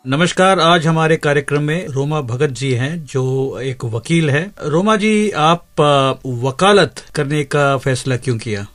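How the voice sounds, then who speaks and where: A man interviewing on the radio, putting questions haltingly with polite acknowledgements. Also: a little high, a bit bright, faint music behind.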